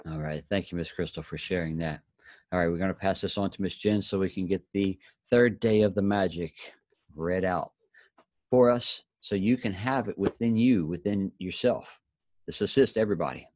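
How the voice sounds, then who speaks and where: A man with a fairly low voice hosts a motivational group talk. He addresses listeners directly and urges them on in plain, informal words. The sound is dry, with very little room in it. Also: normal speed, darkish tone, no room sound. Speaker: host of a motivational group session.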